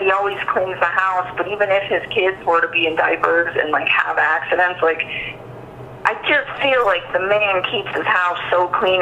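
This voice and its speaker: A woman's voice: lowish voice, sharp tone. A woman being interviewed over the phone, talking informally and emphatically and often repeating herself. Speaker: interviewee giving a statement to police. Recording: phone call with faint noise.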